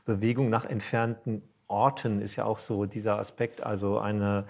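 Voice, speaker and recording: A man's voice: lowish voice, level tone, normal speed, gravelly. A man moderating a semi-formal stage interview, feeling his way through his phrasing with hesitation.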